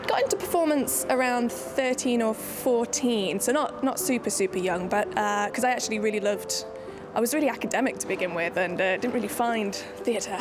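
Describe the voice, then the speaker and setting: A woman's voice: on the high side, moderate pace, music in the background. A woman from Nottingham, a solo theatre maker, talking in a documentary interview in a chatty, self-deprecating way with many restarts. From Nottingham, England.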